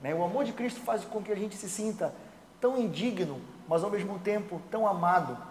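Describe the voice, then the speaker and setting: A man leading a church Bible study, explaining each point in measured steps and asking rhetorical questions. His voice sits a little high for a man, and it rings through a roomy, echoing church hall with a long tail. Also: slight background noise. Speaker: Sabbath school teacher. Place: church sanctuary.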